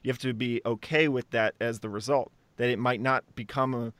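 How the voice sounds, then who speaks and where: A man's voice: mid-range voice, fairly even intonation, a little fast, fairly bright tone, no room sound. A man co-hosting a casual podcast discussion, thinking aloud in a conversational way. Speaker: podcast co-host.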